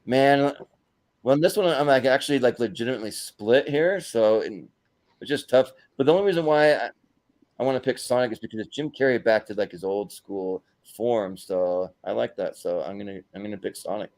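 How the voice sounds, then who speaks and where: A man's voice: medium pitch, brisk, darkish tone. A man hosting a casual panel chat, speaking informally to the other participants. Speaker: host of panel show.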